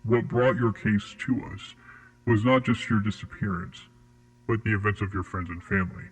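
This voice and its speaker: An actor in an audio drama playing a cold, composed, mysterious male interrogator, measured, formal and menacingly polite. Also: fairly low pitch, slightly rough, rough recording. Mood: calm, grave.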